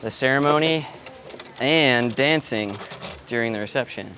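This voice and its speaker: Man muttering through work over clear background noise. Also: medium pitch, measured pace, sharp tone. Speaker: live sound engineer setting up PA gear. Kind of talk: casual running commentary while working. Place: event venue during setup. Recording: noisy on-location vlog audio with clatter.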